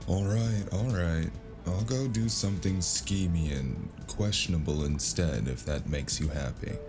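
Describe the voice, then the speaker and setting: An actor playing a wry, world-weary cyberpunk freelancer who narrates his own noir tale in a sardonic, musing way. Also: low voice. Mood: dryly sardonic. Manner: sardonic, musing, drawn-out first-person storytelling. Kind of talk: noir audio drama narration. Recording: music behind the voice.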